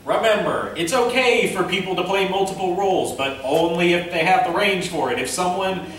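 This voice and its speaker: A man presenting an informal convention panel, speaking haltingly as he thinks aloud. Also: high voice, a bit bright. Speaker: panel presenter, amateur director and voice actor. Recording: echoey room from far off, faint noise.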